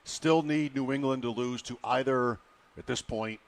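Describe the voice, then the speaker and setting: A man hosting a sports radio show, asking questions in a relaxed, conversational way. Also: mid-range voice, moderate pace. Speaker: radio host.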